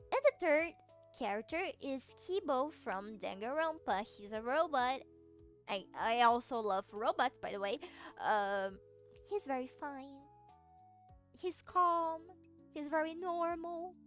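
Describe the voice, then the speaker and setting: A woman's voice: high-pitched, fairly bright tone. A young woman from Brazil with a Brazilian Portuguese accent, chatting casually with her viewers, rambling with lots of fillers and giggles. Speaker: streamer.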